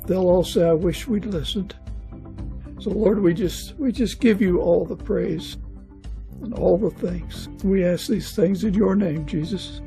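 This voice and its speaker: High-pitched, slow-talking man, mellow and earnest. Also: gravelly, faint music behind. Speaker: podcast host. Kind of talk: spoken prayer closing an interview.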